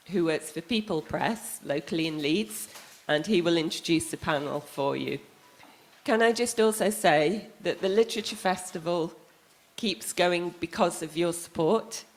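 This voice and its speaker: A woman festival director giving a welcome speech to a theatre audience, making announcements with a few ums. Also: lowish voice.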